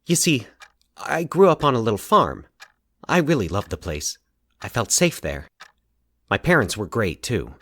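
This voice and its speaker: A man voicing an anxious, halting man confessing a recurring nightmare in a horror audio story. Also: medium pitch, big pitch swings, a bit bright, no echo, heavily acted. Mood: nervous, hesitant. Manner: nervous, halting, stumbling over his words. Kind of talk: dramatized horror audio fiction.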